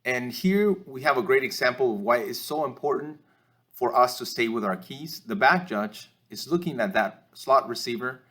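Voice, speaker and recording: A man delivers instructional commentary over game footage. His mid-pitched voice is measured and explanatory, with small hesitations as he works through each point like a coach teaching officials. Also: expressive, sharp tone. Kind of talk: instructional film-review commentary.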